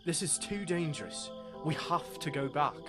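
A cautious young tomcat from a comic dub, acted by a woman, grumpy and sarcastic. The low voice moves widely in pitch as it scolds, over clear background music. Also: expressive, moderate pace. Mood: worried, sarcastic. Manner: sarcastic, stern, exasperated. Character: cautious, exasperated young male cat, grumpy boyfriend. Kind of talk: comic dub character acting.

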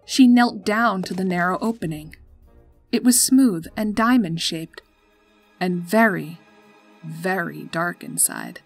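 Lady reading a story, kinda low breathy voice, soft music under it. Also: slow. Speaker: narrator. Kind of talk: scripted story narration.

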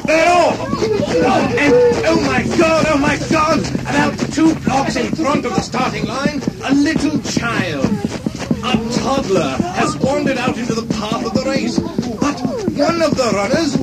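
High-pitched, awestruck race announcer. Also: male voice, a little slow, over loud music, low-quality recording. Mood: astonished. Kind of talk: excited live race commentary in a radio drama.

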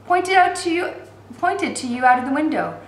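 A woman's voice: sits high, lively intonation, normal speed, pitched above the performer's own voice. A woman voicing a friendly, talkative young male shopkeeper with emphatic delivery in a storybook reading. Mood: chatty. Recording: roomy echo with faint background noise.

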